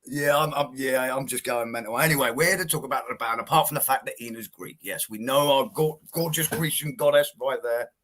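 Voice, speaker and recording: A man's voice: medium pitch, a little fast, few pauses, fairly bright tone. A man hosting a casual music interview with a cheeky, dry, teasing manner. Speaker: show host.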